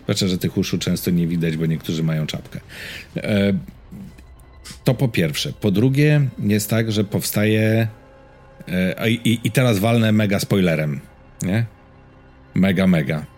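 A man's voice: deep voice, measured pace, pauses often, a little mellow, faint music behind. A man chatting casually as a podcast co-host about games and series, in an informal, slangy, rambling storytelling way.

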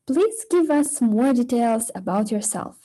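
A woman's voice: a little high, animated, a little slow, mellow. A young woman hosting a podcast interview, reading a scripted intro and then talking more loosely with fillers. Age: young adult. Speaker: podcast host.

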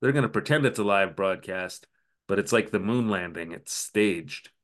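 A man with a slightly low voice talks through a recap with dry sarcasm, as if chatting with a friend on a podcast. The pace is loose and conversational, and he drops in mocking quotes of the characters. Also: expressive, moderate pace. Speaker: podcast co-host. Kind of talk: casual recap chat.

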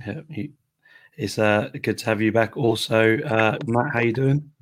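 A man with a croaky voice hosting a casual football podcast and greeting listeners. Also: on the low side, a little slow. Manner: chatty, reads out listener greetings. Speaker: podcast host.

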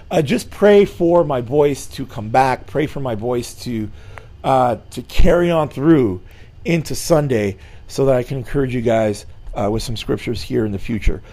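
Slightly hoarse, mellow-voiced middle-aged man. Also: mid-range voice, a little slow. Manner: earnest, with frequent "uh" fillers and restarts. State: slightly hoarse, losing his voice. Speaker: church president hosting a weekly show. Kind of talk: informal sermon-style Bible lesson.

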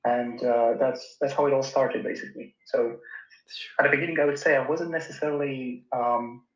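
A young man from Romania speaks in a narrow, even tone, pausing often with fillers as he thinks through his answers. His voice comes through a dull, muffled line that bounces around an echoey room, like a remote video call. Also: medium pitch. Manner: thoughtful, hesitant, many fillers and restarts. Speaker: engineer guest. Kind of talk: casual interview answers.

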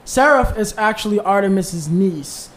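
A man's voice: high voice, animated, unhurried, slight background noise. A man in his twenties, a band leader, telling stories in a rambling, easygoing way with many fillers. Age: 20s. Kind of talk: casual interview chat.

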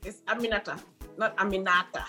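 A woman's voice: mid-range voice, steady tone, fairly quick. A woman co-hosting a show with casual, teasing banter. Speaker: talk show co-host. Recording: loud music behind the voice.

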